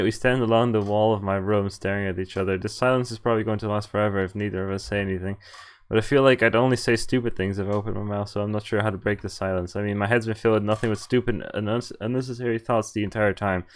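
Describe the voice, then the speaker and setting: A man's voice: on the low side, clean tone. A man streaming a visual novel, reading its narration aloud and breaking off for wry comments, swearing and laughing.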